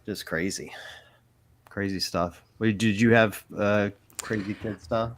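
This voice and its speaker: Middle-aged male co-host, low-pitched, loose and rambling, swears freely. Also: fairly even intonation, measured pace. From Mississippi, US. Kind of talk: casual live Q&A chat.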